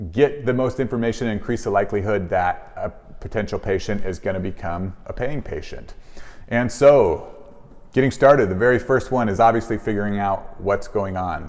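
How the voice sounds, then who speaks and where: A man with a low, deep voice gives an informal lesson in a conversational, explanatory way. He sounds like a practitioner coaching colleagues in everyday language. The recording is heavily reverberant, with the room ringing around his words. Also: darkish tone. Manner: conversational, explanatory, voices example questions and replies. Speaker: chiropractor presenting a business advice video. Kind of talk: informal instructional talk to camera.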